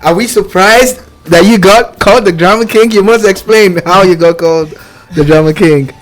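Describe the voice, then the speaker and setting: A man's voice: sits high, moderate pace, rough recording. A male radio host interviewing two contest finalists, encouraging them and laughing along.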